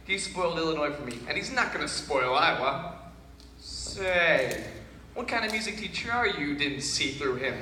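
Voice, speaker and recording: A man's voice: a little high, lively intonation, sharp tone, slight background noise. A brash traveling salesman. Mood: indignant. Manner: folksy, fast-talking, indignant. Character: blustering traveling salesman, brash and hot-tempered. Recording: roomy old film soundtrack.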